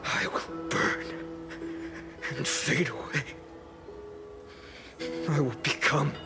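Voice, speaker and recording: An actor playing an anguished, grimly resolute young male hero in a video game, halting and intense, with a breathy, gravelly undertone. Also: fairly high pitch. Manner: halting, intense, heavy delivery. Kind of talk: dramatic video game voice acting. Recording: studio voice over loud music.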